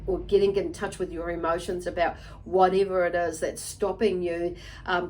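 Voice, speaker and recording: Lady with a lowish voice doing an off the cuff tarot reading, rambly n halting, bit of noise and clicking on the audio. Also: a little mellow, low-quality recording. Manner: halting, meandering, frequently repeats and rephrases herself. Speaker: tarot reader and channel host. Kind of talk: unscripted tarot card reading, spoken to viewers.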